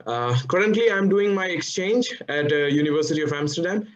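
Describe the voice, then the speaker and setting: A man's voice: high voice, expressive, brisk. A man in his twenties from India, presenting as a student over a video call, hesitant with many fillers. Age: 20s.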